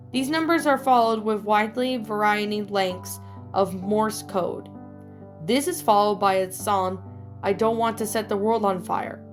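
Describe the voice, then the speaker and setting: A woman narrating a scripted horror story, reading aloud and now and then stumbling over the words. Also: mid-range voice.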